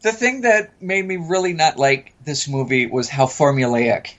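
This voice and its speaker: A man's voice: fairly high pitch, fairly even intonation, normal speed, a bit bright. A man co-hosting a podcast in a casual review chat, conversational and a little tentative, repeating his phrases. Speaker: podcast co-host. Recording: dull, lo-fi audio.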